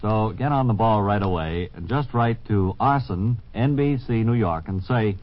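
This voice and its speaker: A man playing an eager bargain announcer, selling earnestly and deadpan in a 1950s radio ad parody. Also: on the low side, normal speed, lightly acted. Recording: muffled, noisy 1950s radio broadcast.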